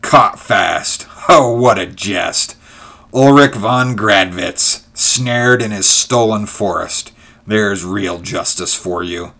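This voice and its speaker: A man acting a low-voiced, snarling, savage rival. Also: takes it slowly, bright, forceful tone, heavily acted. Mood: savage mockery. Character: trapped man snarling mocking threats at his rival.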